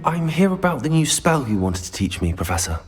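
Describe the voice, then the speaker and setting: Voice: mid-range voice, big pitch swings, fairly quick, music in the background, natural voice. An actor playing a polite, self-assured teenage student wizard in a video game. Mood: polite, composed. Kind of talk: scripted video game acting.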